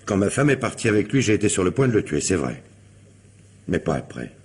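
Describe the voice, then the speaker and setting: An actor playing a wronged husband suspected of murder, guarded, hesitant and protesting, in a French radio crime drama. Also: male voice, sits low, sing-song, fairly quick, a little mellow, husky, exaggerated voice. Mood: pained, earnest.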